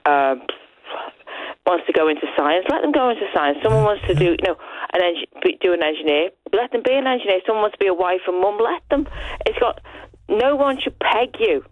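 A woman's voice: medium pitch, brisk, no room sound. Brief: woman, fifties, phone caller, chatty, muffled line. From Liverpool. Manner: chatty, animated storyteller. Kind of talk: phone-in chat.

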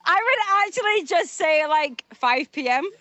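A woman's voice: extremely high pitch, lively intonation, measured pace, sharp tone, dry recording. A young Scottish woman calling in to a radio show, bubbly and laughing as she chats. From Scotland. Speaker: caller. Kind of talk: casual chat on a radio phone-in.

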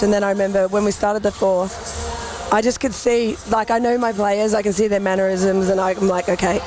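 A woman's voice: mid-range voice, rough recording. A woman, a netball head coach who is losing her voice, answering post-match interview questions.

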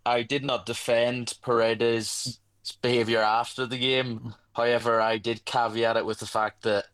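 A young man co-presenting a radio show, measured and careful as he clarifies his points. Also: medium pitch, flat delivery, fairly bright tone.

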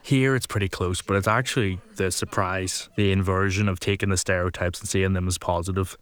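Young male comic, low voice, dry self-mocking storyteller working a live room. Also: a little fast, smooth. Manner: self-deprecating, deadpan asides. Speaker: stand-up comedian. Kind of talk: stand-up storytelling with asides. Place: comedy venue with live audience.

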